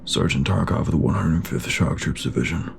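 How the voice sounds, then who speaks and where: A man's voice: rumbling low, fairly quick, creaky, a little hiss or hum, heavily acted. A man playing a bitter, battle-worn shock trooper sergeant in a sci-fi audio drama, terse and brooding. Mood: formal, grim.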